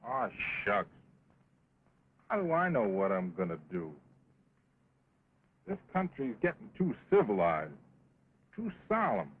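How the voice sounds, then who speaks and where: An actor playing a carefree, stubborn American cattle rustler in an early talkie western, with a folksy offhand drawl. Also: male voice, lowish voice, huge pitch swings, slow, pauses often, slightly rough, exaggerated voice. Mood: restless, shrugging. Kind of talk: early 1929 talkie western film acting. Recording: dull, noisy 1920s film soundtrack.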